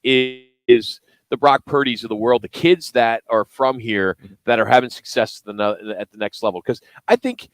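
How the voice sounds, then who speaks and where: A middle-aged man from the Philadelphia area talks sports casually as a radio co-host. His voice sits at an ordinary male pitch, recorded close and dry, and he presses his opinions with insistence. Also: fairly even intonation, moderate pace, hardly any pauses, no echo. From Philadelphia and South Jersey area, USA. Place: live remote radio broadcast at a car dealership.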